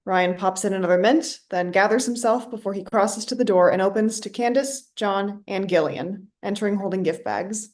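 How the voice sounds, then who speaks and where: A woman's voice: medium pitch, moderate pace, few pauses, darkish tone. A woman reading stage directions as scripted narration for a play.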